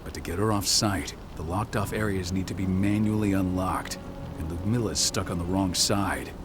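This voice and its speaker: A man plays a commanding resistance comrade in a game cutscene. His low voice is terse, urgent and authoritative. Clear music runs underneath. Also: moderate pace, lightly acted. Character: urgent, commanding male comrade briefing a werewolf mission. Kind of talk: video game cutscene acting.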